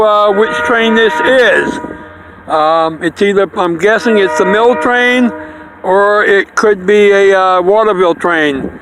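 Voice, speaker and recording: Man, high voice, very slow halting delivery, casual railfan commentary, outdoors with train noise behind. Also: fairly bright tone. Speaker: railfan video host. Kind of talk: casual trackside video commentary. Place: outdoors beside a railroad crossing. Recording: noisy outdoor recording with train rumble. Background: passing train and outdoor noise.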